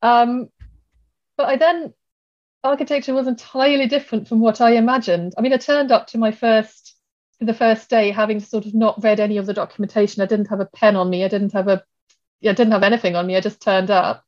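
A woman's voice: a little high, fairly even intonation, a little fast, a little mellow, dull audio. A woman architect who grew up in Germany, answering interview questions in a thoughtful way, often restarting her sentences. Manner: thoughtful, many restarts and fillers. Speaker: architect and guest.

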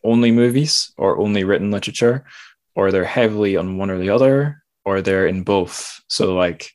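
A man's voice: sits low, moderate pace, a little mellow. A man hosting a podcast, casually discussing literature in a rambling, self-deprecating way as he thinks aloud. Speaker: podcast host.

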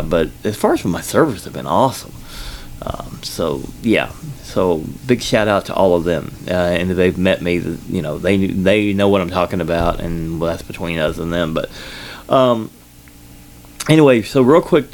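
Gravelly Southern man hosting a podcast. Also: low voice, slight background noise. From Kentucky, United States. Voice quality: loud voice at times. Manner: rambling, filler-heavy, self-correcting. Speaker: podcast host. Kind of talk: casual solo podcast update. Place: podcast studio. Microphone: sensitive studio microphone.

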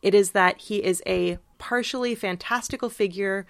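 A woman's voice: mid-range voice, moderate pace, vocal fry, no echo. Woman co-hosting, dry close sound. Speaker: podcast co-host. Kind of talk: casual conversational discussion.

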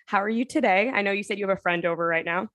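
A woman's voice: medium pitch, rapid-fire, a bit bright, muffled recording. A woman in her twenties hosting a podcast, upbeat and chatty, often saying "like". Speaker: podcast host. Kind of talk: casual interview chat.

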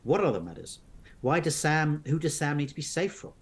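A man's voice: on the high side, sing-song, fairly quick. A man voicing a puzzled, insistent young teenager in a storybook adventure game.

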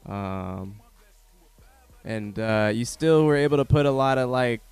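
A man hosting a casual interview, relaxed and encouraging, with a lot of "like" in his speech. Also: mid-range voice, a little slow, clear, resonant tone, no echo, faint music behind. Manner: relaxed, affirming, uses "like" and "man". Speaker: interview host.

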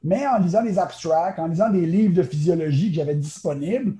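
A man with a Quebec French accent, a naturopath telling his story in a casual interview, quick and full of restarts. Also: a little high, dark tone. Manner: chatty, quick, many fillers and restarts. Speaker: guest, naturopath.

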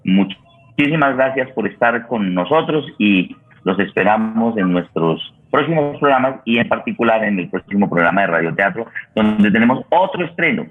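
A Colombian man hosting a radio theatre programme, making halting, unscripted announcements and repeating phrases as he searches for words. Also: on the low side, animated, slightly rough. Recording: muffled, phone-like radio connection.

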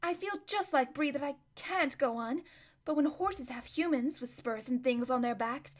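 A timid, gentle talking mare from a storybook, soft and hesitant, almost whispering as she stumbles over her words, as a woman puts her on. Her voice sits fairly high.

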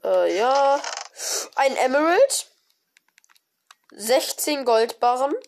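A woman with a cold, giving casual, excited commentary on her own gameplay with many fillers and exclamations. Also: sits high, extremely slow. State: has a cold. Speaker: gaming video creator. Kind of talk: casual let's-play commentary.

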